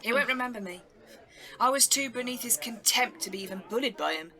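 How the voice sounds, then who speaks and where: A woman's voice: a little high, animated, brisk, sharp tone. A British woman playing an envious, self-doubting press photographer, grumbling earnestly in an audio drama. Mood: indignant, envious.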